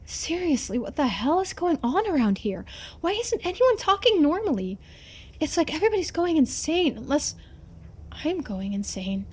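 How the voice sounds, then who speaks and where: A woman's voice: high-pitched, big pitch swings, normal speed, voice pushed up. A woman voicing a blunt, exasperated young woman from a mystery game, casual and sarcastic in her delivery.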